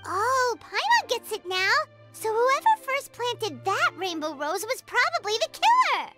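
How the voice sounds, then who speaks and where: A woman voicing a tiny, childlike, excitable fairy companion in a video game, squeaky and exclamatory, over music. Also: measured pace, heavily acted. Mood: curious, surprised. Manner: speaks of herself in third person, exclamatory. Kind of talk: cartoonish video game voice acting. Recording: loud music behind the voice.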